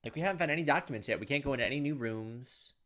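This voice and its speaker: A man's voice: mid-range voice, rapid-fire, a bit bright. A man playing a horror visual novel, reading its text aloud and thinking aloud with restarts, mildly exasperated at trial and error. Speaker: let's play host. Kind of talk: casual game commentary, reading text aloud.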